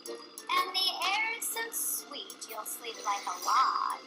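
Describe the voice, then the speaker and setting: A cheerful camper man from a picture book , acted out by a woman, full of enthusiasm. The words come slowly and stretched out, in a fairly high voice. Music and chimes play behind it. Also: very animated, full character voice. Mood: cheerful, reassuring. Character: cheerful man camper talking to his little dog.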